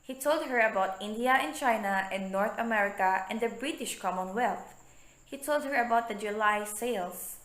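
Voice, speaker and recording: A woman's voice: medium pitch, moderate pace, fairly bright tone. Echoey young woman narrating a story. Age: young adult. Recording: echoey room with background noise.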